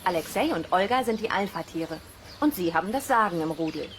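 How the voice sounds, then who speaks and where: A woman playing a friendly, confident wolf keeper in a children's radio play, speaking in a brisk, assured, plain way. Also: on the low side, expressive, close to an everyday voice. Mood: assured explaining. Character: friendly, confident woman who looks after wolves. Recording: outdoor ambience noise behind voice. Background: outdoor nature sounds, birds, animals.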